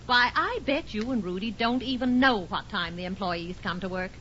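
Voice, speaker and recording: A woman playing a brisk, bossy, expressive housewife in a 1940s radio situation comedy. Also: mid-range voice, natural voice. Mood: exasperated. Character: brisk, no-nonsense housewife taking over the office. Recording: muffled 1940s radio recording, faint noise.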